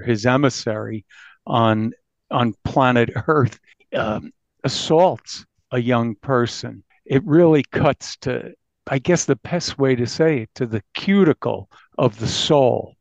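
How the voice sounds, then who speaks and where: A man who works as a reporter, speaking reflectively in an interview with a halting, earnest manner. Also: mid-range voice, dry recording, muffled recording. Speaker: newspaper reporter being interviewed.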